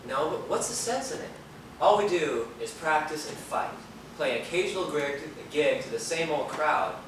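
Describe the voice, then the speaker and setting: An actor playing a weary, disillusioned musician in a stage play, brooding and earnest. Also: male voice, fairly high pitch, animated, normal speed, lightly acted. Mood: sullen, frustrated. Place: theater stage, far microphone. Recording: echoey, distant, noisy videotaped stage.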